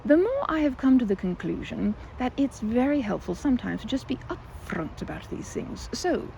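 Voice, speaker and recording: A shy, nervous young woman played by a female voice actor rambles through an ASMR roleplay with lively, swooping pitch and a creaky edge. She stammers, doubles back on her words and slips into oddly formal, wordy phrasing. Clear ambient noise sits behind her. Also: normal speed, low-quality recording. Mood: awkward, earnest. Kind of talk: ASMR roleplay, one-sided audio drama.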